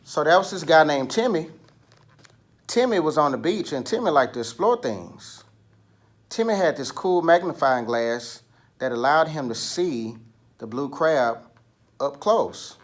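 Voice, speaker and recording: Guy with a slightly high voice doing a kids read-aloud lesson, teacher style, checking in with listeners. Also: animated, unhurried. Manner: instructional, asks questions and prompts listeners to repeat. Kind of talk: picture book read-aloud lesson for children.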